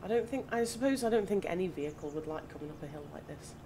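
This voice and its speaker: A woman with a slightly croaky voice from hay fever, chatting casually and thinking aloud as she plays. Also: fairly low pitch, lively intonation, quick pace. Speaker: gaming channel host. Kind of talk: casual gameplay commentary. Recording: audible background noise.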